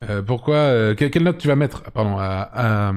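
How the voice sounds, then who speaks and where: A man hosting a casual chat, a little hoarse, teasing and joking with his guests and correcting himself as he goes. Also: on the low side, moderate pace, darkish tone, soft background music. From France. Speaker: host.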